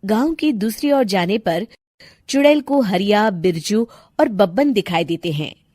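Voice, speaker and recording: A woman's voice: medium pitch, expressive, measured pace, a little mellow, dry recording, studio quality. A woman giving scripted narration for a cartoon story as the storyteller.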